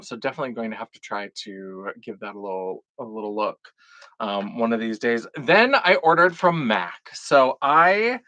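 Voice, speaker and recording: A man's voice: medium pitch, sharp tone, no room sound. A man who performs in drag, hosting a casual live unboxing stream with a froggy, phlegmy throat, chatty and swearing now and then. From North Dakota. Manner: chatty, swears casually, greets chat viewers. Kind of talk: casual livestream chat.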